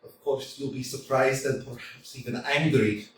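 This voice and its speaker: A man voicing a nervous, pedantic young gentleman suitor in a staged reading of a stage comedy, stammering and fussily formal. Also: medium pitch, fairly even intonation, normal speed, close to an everyday voice. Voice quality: stammering, hesitant, fussy formality. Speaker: community theater actor. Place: small community theater. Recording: echoey room, from far off, faint noise.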